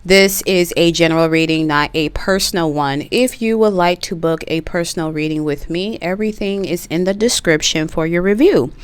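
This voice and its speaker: A middle-aged woman giving a tarot reading in a chatty, slangy way, a little hoarse from a cough. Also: fairly low pitch. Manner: slow, relaxed, chatty with slang. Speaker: tarot reader. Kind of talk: pick-a-card tarot reading.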